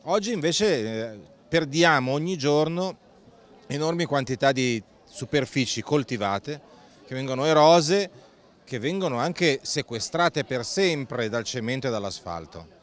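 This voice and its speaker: A man's voice: mid-range voice, expressive, unhurried. Middle-aged man, campaign coordinator, earnest on-camera interview, close handheld mic, at a fair stand. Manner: earnest, explanatory, with hesitant fillers. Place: indoor stand at a busy food fair. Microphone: handheld mic close below the face.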